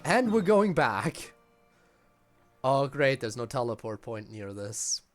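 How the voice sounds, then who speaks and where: A man's voice: mid-range voice, very animated, slow pace, faint music behind. Sardonic man streaming a game. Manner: sardonic, sweary. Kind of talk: casual playthrough commentary.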